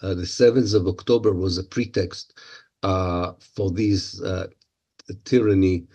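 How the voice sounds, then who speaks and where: A man with an Israeli accent, a native Hebrew speaker, answering interview questions in English in a halting, analytical way. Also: sits low, steady tone, dark tone. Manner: halting, searching for words, analytical. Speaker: guest commentator.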